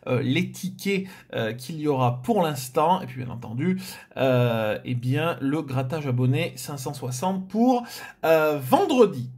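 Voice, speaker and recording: A man hosting a scratch-card video in a casual chat style, relaxed and hesitant with frequent fillers. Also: on the high side, slightly rough. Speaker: host of a scratch-card channel.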